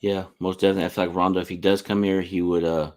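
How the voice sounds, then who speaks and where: Male sports interviewer: low register, quick pace, informal and agreeable chat. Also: flat delivery, a little mellow. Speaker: sports podcast host, interviewer.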